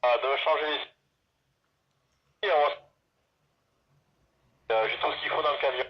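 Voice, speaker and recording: A man's voice: fairly high pitch, steady tone, unhurried, breathy edge. A man in a casual, hesitant and rambling chat as a radio operator, often repeating words, heard through a two-way radio. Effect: two-way radio transmission. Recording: muffled, noisy two-way radio audio.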